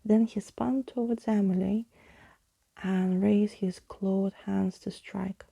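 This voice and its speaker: A woman reading a storybook in a soft, breathy ASMR whisper, hushed and soothing. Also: medium pitch, steady tone, slow pace, no room sound. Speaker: ASMR reader.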